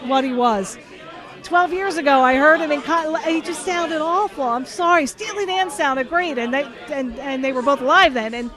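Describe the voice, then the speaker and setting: A middle-aged woman with a cold and a nasal voice, co-hosting a podcast in chatty, joking talk. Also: sits high. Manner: chatty, joking, rambling with restarts. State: has a cold. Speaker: podcast co-host. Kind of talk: casual chat.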